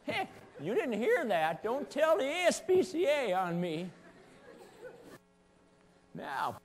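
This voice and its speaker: A man playing a folksy, excitable old shepherd who scolds and chuckles in rambling asides during a comic stage monologue. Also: very high-pitched, takes it slowly, breathy edge, some chatter behind, full character voice. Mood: teasing, folksy. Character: folksy old shepherd, sassy, excitable, talking to his sheep. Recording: long echoing hall reverb.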